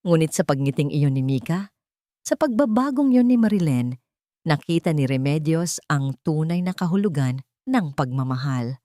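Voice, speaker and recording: A woman with a low-pitched voice reads a sentimental drama as its narrator. Her delivery is earnest, solemn and measured. The sound is dry, with no room around it. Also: expressive, dark tone, no room sound. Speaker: story narrator and channel host.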